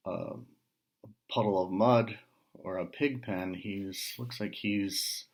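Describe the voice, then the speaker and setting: A man reading a picture book aloud to young listeners, pausing between short phrases and adding casual asides and questions. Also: low-pitched.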